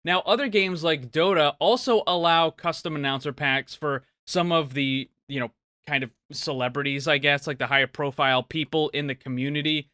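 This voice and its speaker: A man's voice: fairly high pitch, steady tone, normal speed, bright, forceful tone, dry recording, clean studio sound. A man hosting a gaming video, giving upbeat commentary as he answers viewer questions.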